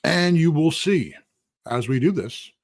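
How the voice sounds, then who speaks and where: A husky man, losing his voice, teaching a casual live-streamed lecture in a rambling, conversational way with asides. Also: fairly high pitch, animated, measured pace, no room sound, clean studio sound. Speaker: instructor.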